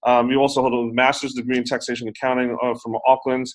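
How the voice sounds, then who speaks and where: A man's voice: mid-range voice, flat delivery, fast talker, poor audio. A man hosting a podcast interview in a casual, chatty way, with many ums and uhs. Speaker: podcast host.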